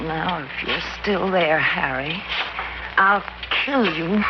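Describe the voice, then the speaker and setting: A woman's voice: lowish voice, slow pace. An actress playing a scheming woman who lures a young man into a trap, coy and sly, in an old radio drama. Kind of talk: 1940s-50s radio drama acting. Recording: muffled vintage radio recording with hiss.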